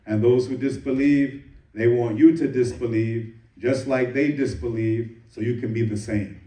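A man giving a Friday sermon as imam, reciting Arabic verses quickly and translating them into English. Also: mid-range voice, steady tone, warm tone. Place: mosque prayer hall. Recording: echoey room, voice from a distance.

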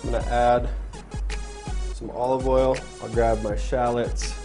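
A man's voice: mid-range voice, unhurried, music in the background. Young man narrating a home cooking demo. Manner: relaxed step-by-step narration while cooking. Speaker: cooking show host. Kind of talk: casual cooking demonstration. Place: home kitchen, indoors. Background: frying and sizzling from the pan.

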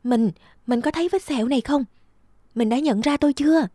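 A woman voicing a gentle, affectionate young peasant wife in a cartoon folktale. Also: high-pitched, a little fast, no echo, clean studio sound, pitched above the performer's own voice, close to an everyday voice. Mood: eager, affectionate.

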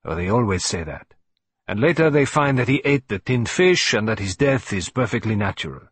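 A man's voice: lowish voice, very animated, normal speed, a bit bright. A man voicing a fussy, vain older male detective with a put-on French accent, in a precise, self-satisfied manner. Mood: wry. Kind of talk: audiobook reading.

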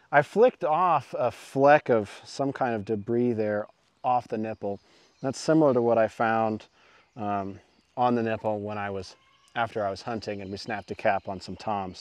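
A man's voice: fairly low pitch, dry recording. A man in his thirties giving an informal, self-effacing how-to demonstration outdoors, speaking into a clip-on mic. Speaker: muzzleloading channel host. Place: outdoors in woods. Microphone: clip-on lapel mic.

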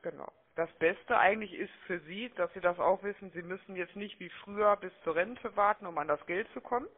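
A woman making a phone sales pitch, chatty and persuasive, often checking for agreement, heard over a telephone line. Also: fairly quick. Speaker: telemarketing caller. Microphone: telephone call.

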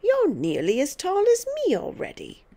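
A woman's voice: high voice, wildly swooping pitch, slow, a little mellow, pitched above the performer's own voice, exaggerated voice. A woman voicing a tiny, bossy, mean old grandmother who scolds and snaps with gloating emphasis in a storybook reading. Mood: snappish, scolding.

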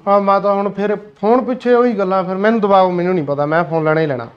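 A spoiled, demanding young son, played by a man, whining in a high, quick voice with a smooth, clean tone. The recording is dull and lo-fi. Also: lightly acted. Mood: whiny. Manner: whines and pleads.

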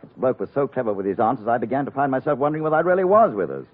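An actor playing a polite, easily astonished British gentleman in an old-time radio thriller, with old-fashioned phrasing. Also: medium pitch, sing-song, rapid-fire, a little hiss or hum, natural voice. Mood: relieved, curious. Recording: muffled, narrow old radio recording.

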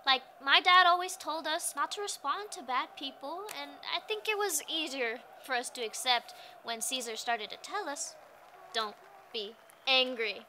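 An actress playing a spirited woman farmworker organizer recalling a strike in an animated, conversational way. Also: sits high, normal speed, slight background noise. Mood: earnest. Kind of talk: dramatized oral history monologue.

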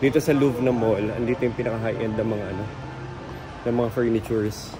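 A chatty Filipino man in his thirties, filming himself on a close handheld camera while he walks through a big indoor mall. His voice sits at an ordinary middle pitch, and he talks in loose, teasing tour-guide banter. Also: level tone, a little fast, music in the background, rough recording. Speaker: vlogger. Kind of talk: casual vlog narration. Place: large indoor furniture mall. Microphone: handheld camera held close.

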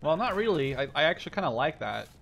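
A man in his twenties from the Netherlands, chatting casually and joking with a friend while playing a shooter game, with sudden excited shouts. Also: on the high side, fast talker, gravelly, slight background noise. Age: 20s. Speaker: gaming channel host. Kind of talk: casual gameplay chat with a friend.

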